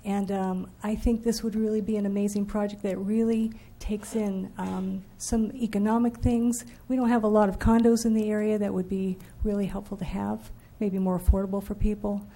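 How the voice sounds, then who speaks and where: Woman with a dark, gravelly voice. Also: mid-range voice, flat delivery, normal speed, few pauses. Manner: earnest and hesitant, with repeated ums. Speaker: local resident giving public comment. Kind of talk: public comment speech. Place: council chamber.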